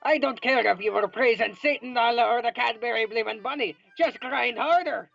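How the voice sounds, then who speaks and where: A man voicing a gruff old male crab restaurant boss who yells with pirate-like growls, on a dull lo-fi recording with faint music. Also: very high voice, normal speed, pitched above the performer's own voice, exaggerated voice. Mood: yelling. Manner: bellowing, pirate-like "yar" growls.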